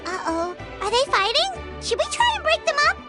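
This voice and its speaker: Game mascot: tiny excitable childlike fairy, extremely high squeaky voice, very animated. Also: female voice, normal speed, over music. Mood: curious, concerned. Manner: bubbly, refers to self in third person. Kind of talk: video game voice acting.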